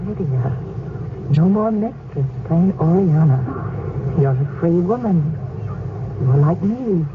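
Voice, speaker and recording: An actress playing Oriana, a gentle and kind young noblewoman, in a 1970s radio drama, speaking softly and soothingly. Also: on the low side, expressive, takes it slowly. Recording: tinny lo-fi radio broadcast with hiss.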